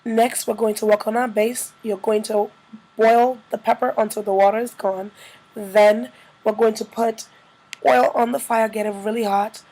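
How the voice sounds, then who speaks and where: Slightly hoarse woman, creaky voice. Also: fairly high pitch, fairly even intonation, normal speed, warm tone, low-quality recording. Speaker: cooking vlogger. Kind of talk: casual narrated cooking tutorial.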